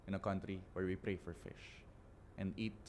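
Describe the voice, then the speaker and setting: A Filipino man with a lowish voice performs spoken word poetry. He places each phrase deliberately and dramatically, leaving weighted pauses. A light layer of noise sits behind him. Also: little pitch movement, a little mellow. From Philippines. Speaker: guest spoken word poet.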